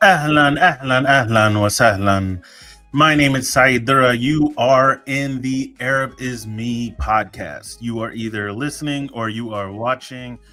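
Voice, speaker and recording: A man talks through a solo podcast episode in a loose, joking way, stopping and restarting often. His voice is bright and forceful, at a middle pitch. Faint music sits under him. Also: unhurried. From United States. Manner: chatty, joking, many fillers and restarts. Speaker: podcast host. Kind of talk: casual solo podcast talk.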